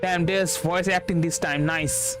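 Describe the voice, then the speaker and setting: A male game streamer talks casually in a high-pitched voice. He reasons aloud haltingly and repeats phrases, with faint music in the background. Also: steady tone. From India.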